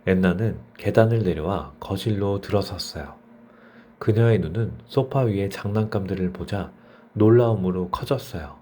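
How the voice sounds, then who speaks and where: A man's voice: low voice, moderate pace, mellow, slight background noise. A man narrating a bedtime story for children as a storyteller.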